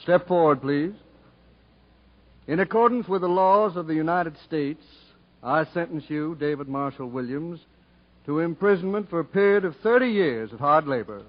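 A man acting a stern federal judge, formal and official, with a slightly high voice. The sound is a muffled fifties radio drama broadcast. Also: animated, normal speed, slight background noise, close to an everyday voice. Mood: solemn, official. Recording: dull 1950s radio broadcast recording.

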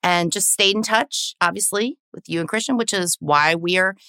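A woman's voice: fairly low pitch, normal speed, clean tone, no echo, clean studio sound. A woman in her forties from the American South with a Southern accent, co-hosting a casual chat in a chatty storytelling way. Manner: chatty, laughing, warm storytelling. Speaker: co-host, lawyer.

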